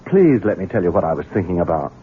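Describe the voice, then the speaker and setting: A resentful husband with a devious streak. His lowish voice leaps around, turning falsely sweet. The 1970s radio drama sounds muffled and thin. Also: sing-song, quick pace, slightly rough, exaggerated voice. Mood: smoothly devious. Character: resentful, scheming Southern husband and coldly devious son. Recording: muffled, narrow-band old radio recording.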